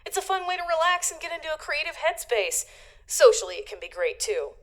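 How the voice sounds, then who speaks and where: A woman's voice: high-pitched, very animated, a little fast. A woman playing an easygoing, supportive best friend in an audio drama, with a casual, reassuring way of talking.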